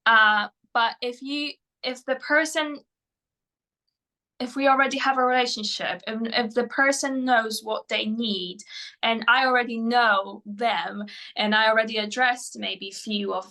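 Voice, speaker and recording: A woman whose first language is Polish, a mental performance coach answering interview questions in English, often pausing with fillers and restarting her sentences. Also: fairly high pitch, pushed and bright. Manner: hesitant, many fillers and restarts.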